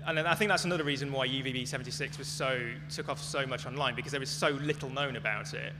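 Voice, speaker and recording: A man's voice: mid-range voice, fast, music in the background. A man giving an informal, hedged explanation as a speaker answering audience questions at a live talk. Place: large echoey venue at a live talk. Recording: echoey hall, clear background noise, hum.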